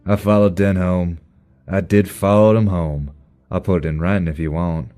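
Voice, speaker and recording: Man as low mellow gangster. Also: steady tone, normal speed, faint music behind, close to an everyday voice. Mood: rehearsed, glib. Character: shifty Irish male gangster reciting a rehearsed confession. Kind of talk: character voice acting.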